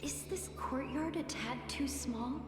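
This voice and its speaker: A woman voicing a serene, musing fantasy game character with measured, lilting delivery. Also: high-pitched. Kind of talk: video game voice acting. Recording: echoey reverb under clear game music.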